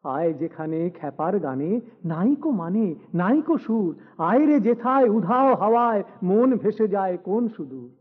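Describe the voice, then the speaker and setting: A man reciting rhymed poetry in an animated, theatrical and whimsical manner. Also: high voice, unhurried, phone-quality sound.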